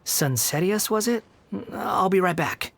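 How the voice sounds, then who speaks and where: Young male drifter turned shop helper, video game: husky, breathy, deferential, earnest. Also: high-pitched, animated, unhurried, dry recording, exaggerated voice. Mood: earnest, eager. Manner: deferential, eager, soft-mannered. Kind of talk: video game character acting.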